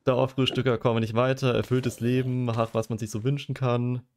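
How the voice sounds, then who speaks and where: A man's voice: mid-range voice, level tone, normal speed, darkish tone, a little hiss or hum. A young man streaming a game, chatting casually and joking while he reads quiz questions aloud and muses over his answers. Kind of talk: casual livestream chat while gaming.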